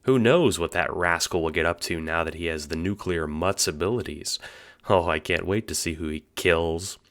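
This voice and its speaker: A man's voice: low-pitched, normal speed. A man playing a sly, theatrical puppet-master narrator who toys with listeners in an audio drama. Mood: gleeful, taunting. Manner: teasing, mock-cheerful, swooping between hushes and exclamations. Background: music.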